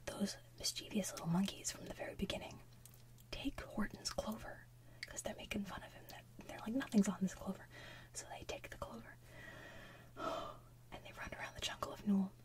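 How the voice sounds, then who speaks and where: A woman's voice: mid-range voice, steady tone, moderate pace, far from the mic. A young woman whispering a casual retelling of a children's story, full of "so basically" and "like".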